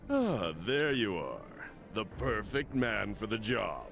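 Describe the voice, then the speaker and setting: An actor playing a huge, smug crime boss who talks slowly and condescendingly, with a deep gravelly voice. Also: male voice, very animated, slight background noise, exaggerated voice. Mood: smug, menacing. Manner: slow, measured, condescending. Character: menacing crime boss, huge bald man, calm and smug. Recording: game cutscene with loud music.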